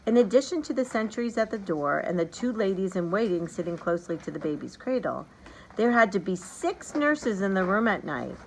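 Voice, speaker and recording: A woman's voice: mid-range voice, sing-song, normal speed. Woman reading a children's story, noisy background. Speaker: storybook reader. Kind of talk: animated children's read-aloud. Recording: background noise behind the voice.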